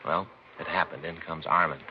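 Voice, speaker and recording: A man's voice: very deep voice, steady tone, normal speed. An actor playing a put-upon American family-man detective, complaining in a folksy, rambling way, in 1950s radio drama style. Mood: aggrieved. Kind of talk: 1950s radio acting, conversational dialogue. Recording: dull vintage radio recording, faint noise.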